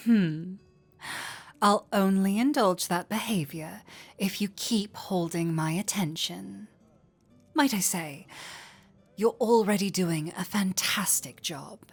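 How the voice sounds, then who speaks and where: A flirty fantasy innkeeper, acted by a woman talks intimately to one listener in an audio roleplay. The delivery is unhurried and playful, full of coy teasing, at a medium pitch. Soft music plays underneath. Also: breathy edge.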